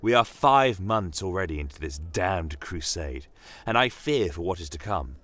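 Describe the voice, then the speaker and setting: A man voicing a shrewd, courteous non-human commander in a fantasy audio drama, measured and politely menacing. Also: deep voice, sing-song, a bit bright, exaggerated voice. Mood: stern, measured.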